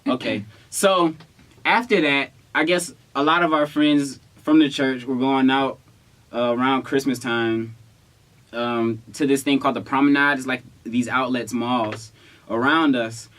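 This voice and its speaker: A man's voice: medium pitch, fairly even intonation, unhurried, fairly bright tone. A young man telling a story in casual, slangy chat, with a playful, protesting manner. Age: young adult. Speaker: vlogger telling a couple story.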